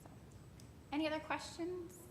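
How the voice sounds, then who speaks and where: A woman presenting to staff and taking questions in a large auditorium, in a brisk, upbeat way. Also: high voice, flat delivery. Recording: roomy hall with loud background noise.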